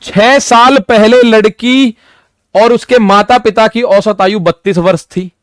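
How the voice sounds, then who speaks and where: A man's voice: sits high, fairly even intonation, slow, no room sound, clean studio sound. A young man in his twenties teaching a maths lesson for exam preparation, repeating points and talking straight to his students with everyday examples. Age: 20s. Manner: repetitive, addresses students directly with "ठीक है" and "भाई".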